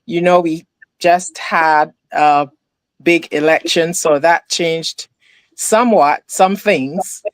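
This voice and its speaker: A woman from Barbados, living in the US for decades, chatting as a guest on a video call and talking with many fillers and pauses. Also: deep voice, fairly even intonation, no room sound. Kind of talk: casual video call chat. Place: home in the US.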